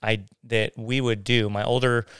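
A man telling his faith story in a casual interview, halting and restarting his sentences often. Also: medium pitch, little pitch movement, a bit bright, clean tone, no room sound, studio quality. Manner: halting, many restarts and fillers.

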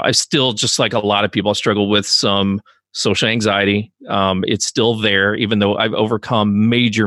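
Earnest low-voiced man, dry sound. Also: a bit bright, no echo. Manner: earnest, heartfelt, self-deprecating. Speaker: podcast guest. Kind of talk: casual interview conversation.

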